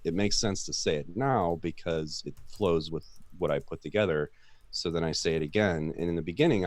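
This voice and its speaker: A man with a very low, steady, monotone voice hosts a relaxed chat, musing out loud and doubling back on his own thoughts. The sound is dry and close, with no room echo. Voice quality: deep, monotone voice. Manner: rambling, self-reflective, many restarts. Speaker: podcast host. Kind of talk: casual chat.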